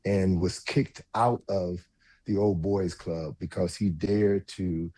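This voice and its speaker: A man speaking as a radio panel guest, discussing thoughtfully in deliberate, halting phrases. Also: low voice, steady tone, warm tone.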